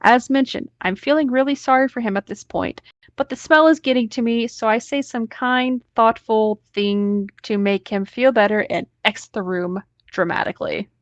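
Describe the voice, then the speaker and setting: A woman's voice: a little high, normal speed, no echo, muffled recording. A woman host reading an online story aloud, breaking off now and then into casual asides.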